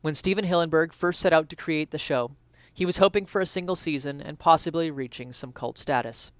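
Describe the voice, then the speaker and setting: A man with a slightly high, clean and resonant voice performs an essay as a dramatic reading. His phrasing is earnest, deliberate and theatrical. The recording is close and dry. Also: smooth, no room sound. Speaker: reader of an essay.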